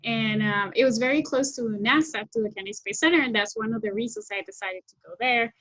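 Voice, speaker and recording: A woman's voice: on the high side, quick pace, a bit bright, no echo, low-quality recording. Enthusiastic woman from Colombia. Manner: enthusiastic, many restarts and fillers. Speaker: guest, technology scout. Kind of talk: video call interview. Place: home room with window, indoors. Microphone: laptop webcam mic on video call.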